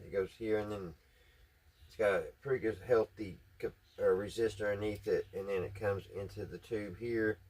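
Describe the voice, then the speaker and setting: A man talks through hands-on repair work. His delivery is casual and folksy, like a hobbyist vlogger. He often muses aloud to himself while addressing his viewers. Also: lowish voice.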